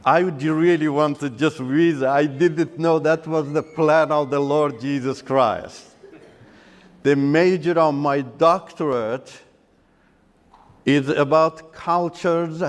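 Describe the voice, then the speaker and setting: A middle-aged man from Iran, a Turkish speaker, giving an earnest personal faith testimony in halting, broken-up phrases. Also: on the high side. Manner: earnest, halting, breaks up sentences with pauses and restarts. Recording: long hall reverb.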